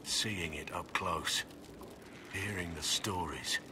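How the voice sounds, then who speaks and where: An actor playing a gruff, weary middle-aged soldier in a video game, grave, measured and earnest, full of remorse and resolve. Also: male voice, low-pitched, background noise. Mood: regretful. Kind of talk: dramatic video game acting.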